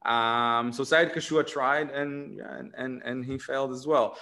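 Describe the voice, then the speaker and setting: A man's voice: medium pitch, steady tone, a little slow, bright, forceful tone. Israeli man musing on a video call. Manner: thoughtful, many fillers and restarts, frequent "right?". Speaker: author in conversation. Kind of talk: academic video-call conversation. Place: home room indoors.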